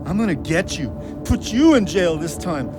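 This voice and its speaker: Gritty audio play: volatile male drinker, blunt, sweary, high voice with big pitch swings, faint music. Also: very animated, moderate pace. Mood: drunken rage. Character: troubled, touchy, hot-tempered man with a drinking problem. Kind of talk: gritty contemporary audio drama dialogue.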